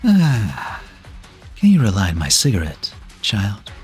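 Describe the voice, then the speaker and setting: A very low-voiced, ancient immortal man, played by a male voice actor, weary and cryptic. His breathy, reflective delivery moves widely in pitch, with the wry air of a game drama. Music plays behind him. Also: huge pitch swings, slow pace, heavily acted. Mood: wistful, weary. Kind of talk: fan-dub game drama acting. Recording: background music under the voice.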